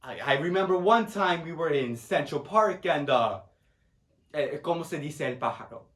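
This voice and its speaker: A proud, tender, grieving middle-aged Puerto Rican father, acted by a man in a dramatic monologue. His voice is high and swings widely, with a Spanish accent and rambling broken English. It sounds in an echoey room. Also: expressive, moderate pace, a bit bright. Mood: guarded, reminiscing. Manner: broken English, rambling, tags phrases with "huh?".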